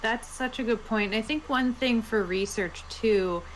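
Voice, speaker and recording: A woman's voice: fairly high pitch, unhurried, a little hiss or hum, rough recording. A woman panelist in a casual chat about tabletop roleplaying, speaking in an agreeable, informal way.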